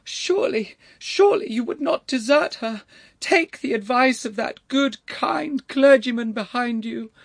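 A man's voice: very high-pitched, animated, normal speed, a bit bright, dry recording, pitched above the performer's own voice, full character voice. A man voicing a strident, melodramatic elderly lady in an audiobook, wailing and pleading theatrically. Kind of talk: dramatised audiobook character voice.

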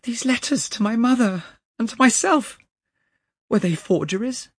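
A man voicing a horrified, shaken young gentleman in a dramatic storybook reading, with an overwrought manner. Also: very high-pitched, lively intonation, moderate pace, slightly rough, dry recording, clean studio sound, pitched above the performer's own voice, full character voice. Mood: bewildered. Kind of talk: dramatic storybook character acting.

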